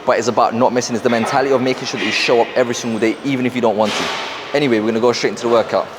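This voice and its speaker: A young man with a London accent, talking fast and rambling to camera in a casual motivational vlog, wearing a clip-on mic in a gym. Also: medium pitch, fairly even intonation, noisy background. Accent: London English. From South London, England. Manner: fast, rambling, repeats himself. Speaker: fitness and mindset coach. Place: busy gym.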